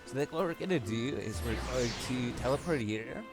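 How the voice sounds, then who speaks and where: A man's voice: mid-range voice, animated, fairly quick. Casual male gamer over game music. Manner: rambling, repeats himself, often clears throat. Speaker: gameplay video host.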